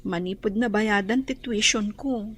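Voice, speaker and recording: A woman's voice: medium pitch, unhurried, a bit bright, a little hiss or hum, natural voice. A woman with a Filipino accent playing a young student, a dutiful daughter, in an Ilocano radio drama. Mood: defensive, worried.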